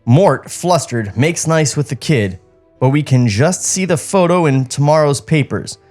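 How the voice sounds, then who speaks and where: A man's voice: fairly high pitch, animated, a little slow, darkish tone, soft background music. A man co-hosting a podcast, reading script directions aloud with sarcastic asides.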